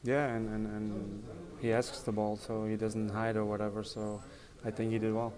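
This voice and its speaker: Man in his thirties, team captain, post-match scrum; flat, level delivery, stumbles and repeats, crowd chatter behind. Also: fairly low pitch. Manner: even-toned, halting, repeats words. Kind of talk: post-match press interview. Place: indoor press area in front of a sponsor backdrop. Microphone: reporters' handheld mics and phones held close. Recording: noisy crowded press area.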